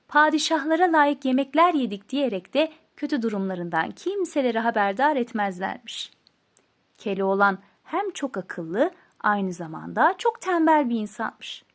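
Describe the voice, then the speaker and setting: A woman storyteller narrating a scripted fairy tale with an expressive storybook delivery. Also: on the high side.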